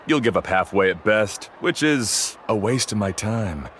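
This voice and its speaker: An actor playing a proud, aloof cave guide who talks down to clients in a video game. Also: male voice, lowish voice, animated, measured pace, a bit bright, a little hiss or hum. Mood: scornful. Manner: condescending, dismissive. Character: arrogant, aloof star cave guide, adult man.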